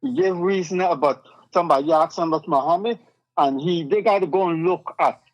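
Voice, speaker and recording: A man's voice: fairly high pitch, fairly quick. Man on a dull, lo-fi line. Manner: firm, argues back. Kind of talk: casual political debate. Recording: dull lo-fi sound with faint music.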